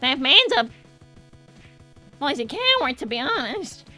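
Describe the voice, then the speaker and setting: A woman voicing a chatty, bossy young female cartoon hedgehog in an animated, indignant, improvised style. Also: unhurried, soft background music, full character voice. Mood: exasperated. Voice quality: very high, girlish, bright. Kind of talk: improvised in-character livestream banter, reading viewer messages.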